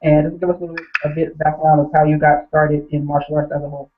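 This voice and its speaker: A man interviewing an athlete, polite and a little halting, with many fillers. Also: on the high side, level tone, a little hiss or hum. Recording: tinny phone line.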